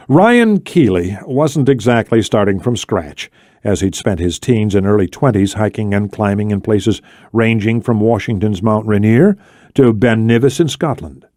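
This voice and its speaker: Male narrator for thriller fiction: medium register, measured delivery, dramatic pauses, very little room sound. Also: mid-range voice, mellow, no room sound, studio quality. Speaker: audiobook narrator. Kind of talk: scripted thriller novel narration.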